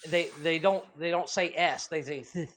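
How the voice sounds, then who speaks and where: A man's voice: on the high side, normal speed, fairly bright tone. A man co-hosting a podcast, chatting loosely, laughing and swearing freely; his first language is Spanish. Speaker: podcast co-host. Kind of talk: casual profane banter.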